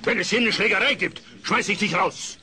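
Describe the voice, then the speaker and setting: An actor playing a gruff, threatening bar boss in a comic radio play. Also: male voice, high-pitched, fairly quick, noisy background, natural voice. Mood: angry, bossy.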